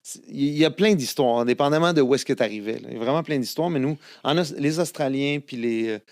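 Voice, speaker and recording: A man's voice: mid-range voice, fast, a little mellow, no room sound, studio quality. A man in his fifties with a Québécois French accent, whose first language is Italian, telling stories as a guest in a casual interview, with many fillers and restarts. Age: 50s. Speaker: guest singer-songwriter.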